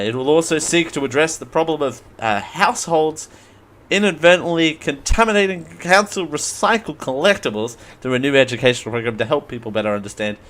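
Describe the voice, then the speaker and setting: A hoarse man hosting a casual live show, rambling, joking at himself and stumbling while he reads out news stories. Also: mid-range voice, a bit bright. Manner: rambling, self-mocking, stumbles over names. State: hoarse after flu. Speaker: host. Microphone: headphones at a desk mic.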